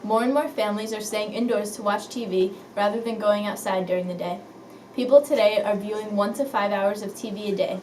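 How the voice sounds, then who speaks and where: A woman's voice: mid-range voice, fairly even intonation, a little fast, darkish tone, lightly acted. A woman playing an earnest 1950s news anchor, reading scripted items in an amateur mock newscast. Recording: echoey room, distant mic, faint noise.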